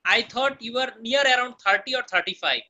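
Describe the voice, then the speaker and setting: A man's voice: extremely high pitch, a little fast, pushed and bright, highs cut off. A man from Bangladesh interviewing a guest live, asking direct, informal questions.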